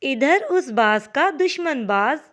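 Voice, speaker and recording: A woman's voice: high voice, lively intonation, slow pace, a bit bright. A woman giving a scripted narration of a children's cartoon story.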